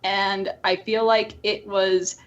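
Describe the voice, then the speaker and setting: A woman's voice: mid-range voice, little pitch movement, takes it slowly, lo-fi sound. A woman co-hosting a podcast, discussing films casually in an emphatic, rambling way. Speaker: podcast co-host. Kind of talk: casual opinionated film discussion.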